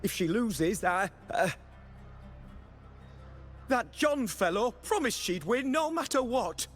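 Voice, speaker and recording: A woman's voice: fairly high pitch, animated, slow, soft background music. A woman playing an anxious, fretful townswoman who gambles on fights in a video game, speaking hesitantly.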